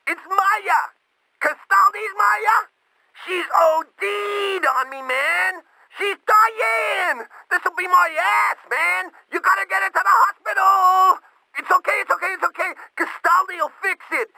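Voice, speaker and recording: An actor playing a panicky street hoodlum in a video game, pleading urgently for help. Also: male voice, very high-pitched, a little slow, gravelly, no room sound, full character voice, low-quality recording. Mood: frantic panic. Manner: frantic, pleading, shouting.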